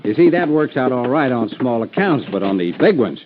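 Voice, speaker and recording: An actor playing a pushy, fast-talking American insurance boss in a 1950s radio drama, glib, wheedling and steamrolling. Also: male voice, mid-range voice, big pitch swings, background noise, lightly acted. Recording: tinny, narrow-band vintage radio recording.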